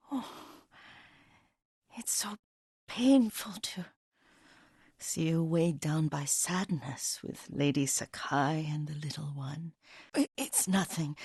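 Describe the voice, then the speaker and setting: Elderly female caretaker, game drama: gentle, wistful, devoted, slightly low husky voice, halting slow delivery. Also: dry recording, studio quality, full character voice. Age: older. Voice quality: husky, breathy. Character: frail, devoted elderly Japanese woman caretaker and herbalist. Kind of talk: dramatic video game voice acting.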